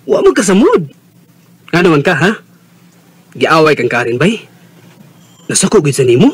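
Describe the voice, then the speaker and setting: A nosy young man ribbing his pal in a Bisaya radio drama. His voice is rough and fairly high, leaping up and down with blunt exclamations. He has a Visayan Filipino accent. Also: wildly swooping pitch, moderate pace, full character voice. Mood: prodding, curious. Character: nosy, teasing young male buddy.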